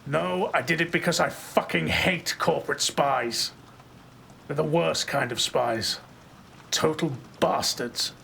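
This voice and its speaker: Brash, protective tough-guy marshal, male actor: very gravelly, blunt, audio drama with faint ambience behind. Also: a little high, slow pace, bright, forceful tone, a little hiss or hum, heavily acted. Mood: contemptuous. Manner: blunt, swearing, brusque. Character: brash, violent, foul-mouthed male marshal, gruffly protective.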